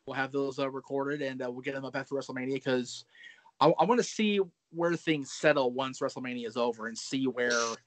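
A man's voice: medium pitch, steady tone, fairly quick, no echo. A man in his thirties hosting a casual wrestling chat over a video call, talking in a chatty, self-deprecating way full of fillers and restarts. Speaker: podcast host. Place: home room with brick wall, indoors. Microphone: webcam video call.

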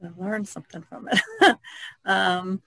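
A woman's voice: mid-range voice, fairly even intonation, unhurried, creaky, a bit bright. An American woman co-hosting a podcast, introducing herself and chatting casually. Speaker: podcast co-host and painter.

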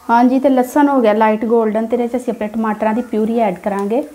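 A woman's voice: fairly high pitch, steady tone, fast talker, warm tone. A woman with a Punjabi accent narrates a recipe step by step as a home cook, instructing her viewers directly. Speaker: home cook, cooking channel host. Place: home kitchen, beside the stove. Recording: background noise of frying and stirring. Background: sizzling pan, stirring sounds.

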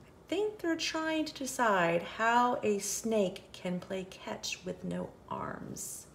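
A woman reading a picture book aloud for children, expressive, with questioning asides to young listeners. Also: medium pitch, takes it slowly, gravelly, slight background noise. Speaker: children's story reader and host.

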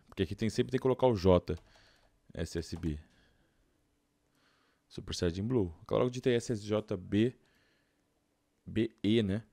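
A man's voice: deep voice, vocal fry, warm tone, no room sound. A Brazilian man doing casual gameplay commentary, informal and slangy, thinking aloud into a close mic. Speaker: gaming YouTuber.

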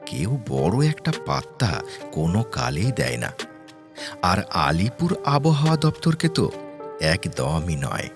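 Casting: male horror audio narrator, low gravelly voice, conversational but theatrical first-person delivery, music underneath.

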